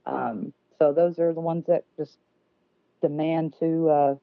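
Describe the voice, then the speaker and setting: A woman with a very low voice answers interview questions over a tinny, phone-like line with dry sound. She sounds nervous and self-deprecating, with plenty of fillers along the way. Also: fairly even intonation, slow pace, gravelly, no echo. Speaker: podcast guest. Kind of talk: casual interview conversation. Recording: dry, phone-like remote call audio.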